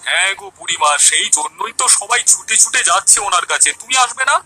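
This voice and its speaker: A man playing an eager, devout young villager in a cartoon story, earnest and excitable. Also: high voice, fast, husky, faint music behind, heavily acted, rough recording. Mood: eager, informative. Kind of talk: Bengali animated moral story acting.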